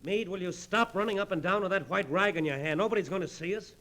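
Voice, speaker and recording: A man playing a steady, cheerful young stranded American airman, breezy and colloquial, in a 1940s radio drama. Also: high-pitched, quick pace, soft background music. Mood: impatient.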